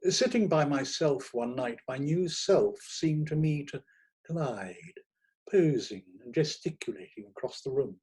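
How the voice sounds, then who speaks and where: A man narrating a literary short story in a theatrical, expressive manner. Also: a little high, unhurried, breathy edge. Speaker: reader of short stories.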